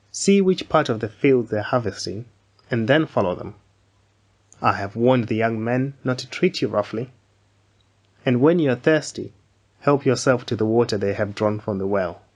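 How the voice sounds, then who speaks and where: A man playing a kindly, authoritative landowner in a dramatised Bible reading, speaking in a measured, formal way. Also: medium pitch, a little mellow, close to an everyday voice. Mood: kindly, protective. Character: Boaz, kindly wealthy landowner, authoritative and generous.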